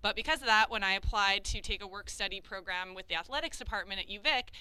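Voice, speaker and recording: Woman, career services director, bright and very clear voice, chatty. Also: mid-range voice, quick pace, no echo, poor audio. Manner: chatty, self-amused, many fillers. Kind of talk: casual staff introduction.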